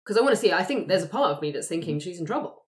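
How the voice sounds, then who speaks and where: A woman with a low voice takes part in a tabletop roleplaying game. She talks casually, working out her character's actions aloud as she goes. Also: animated, rapid-fire. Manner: informal, thinking aloud.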